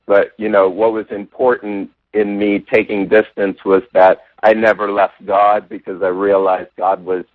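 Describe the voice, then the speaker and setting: Low-voiced man on a tinny line. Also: dry recording. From Jamaica. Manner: thoughtful, many restarts and "you know" fillers. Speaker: interview guest, religious science practitioner. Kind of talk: reflective personal storytelling. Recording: tinny phone-like line, dry.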